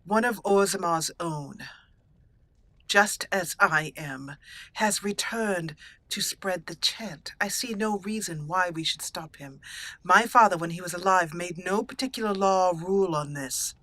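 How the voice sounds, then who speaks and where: A woman voicing a proud, dignified exiled noblewoman warrior in a roleplayed video game, speaking formally and deliberately. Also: fairly low pitch, fairly bright tone, no echo. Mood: firm, formal.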